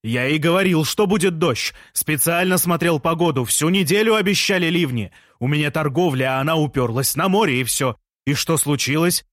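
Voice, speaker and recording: A man's voice: fairly high pitch, normal speed, no echo, clean studio sound, pitched above the performer's own voice, close to an everyday voice. A man playing an indignant, grumbling shopkeeper. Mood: aggrieved. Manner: complaining, aggrieved, shouting.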